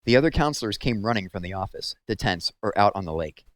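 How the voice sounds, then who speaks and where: A man's voice: lowish voice, animated, fast, no echo. A man reading a children's novel aloud as storybook narration.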